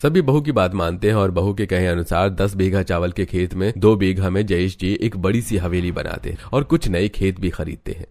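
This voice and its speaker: A man's voice: low voice, normal speed, hardly any pauses, darkish tone, a little hiss or hum. A man narrating a scripted cartoon story.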